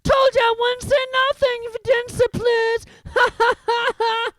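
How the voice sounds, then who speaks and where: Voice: extremely high pitch, flat delivery, a little slow, background noise, pitched above the performer's own voice. A reader voicing a mischievous male poltergeist with a sing-song, taunting delivery. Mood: gleeful. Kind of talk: audiobook character voice.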